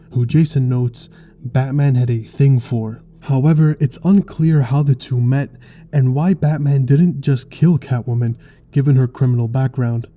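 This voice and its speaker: A man narrating a scripted explainer in a measured, articulate storytelling way. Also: medium pitch, mellow. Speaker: video essay narrator.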